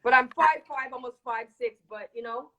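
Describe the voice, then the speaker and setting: A woman's voice: sits high, moderate pace, fairly bright tone. A woman from Toronto speaking candidly as a singer being interviewed, emphatic and given to repeating phrases for emphasis. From Toronto, Canada. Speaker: reggae dancehall singer, interview guest. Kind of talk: casual live interview chat.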